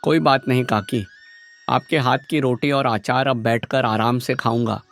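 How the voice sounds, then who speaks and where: A man's voice: medium pitch, steady tone, moderate pace, soft background music, close to an everyday voice. A man playing a friendly, confident young college student visiting his village in a moral story. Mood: affectionate, relaxed. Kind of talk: animated moral story dialogue.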